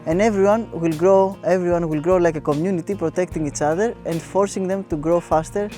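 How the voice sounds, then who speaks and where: A man explaining a volunteer project he coordinates, earnest, pausing with fillers. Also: high voice, steady tone, mellow. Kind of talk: documentary interview. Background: guitar music.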